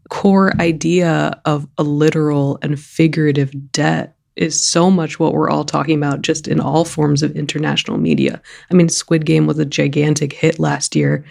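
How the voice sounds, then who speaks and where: Woman panelist, gravelly, eager, casual film talk. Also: low-pitched, darkish tone. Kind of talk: casual discussion.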